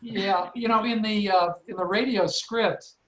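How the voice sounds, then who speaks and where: A man moderating a panel discussion on a video call, speaking haltingly and repeating words. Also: high voice, fairly even intonation, a bit bright, reverberant, a little hiss or hum, rough recording. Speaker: seminar moderator. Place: indoors, online video seminar.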